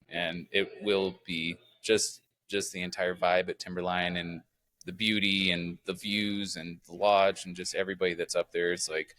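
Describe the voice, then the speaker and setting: A man's voice: deep voice, a bit bright, dry recording. A young man hosting a casual podcast interview, laid-back and hesitant with plenty of fillers. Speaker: podcast host.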